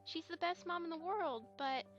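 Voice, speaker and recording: A woman plays a young pony girl in a fan radio play. The voice is very high and childlike, with an earnest, hesitant delivery. It sounds slightly lo-fi, with music behind it. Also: normal speed, fairly bright tone. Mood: sheepish, earnest. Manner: hesitant, earnest, stammering over confessions. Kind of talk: fan audio drama acting. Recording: slightly dull, lo-fi microphone.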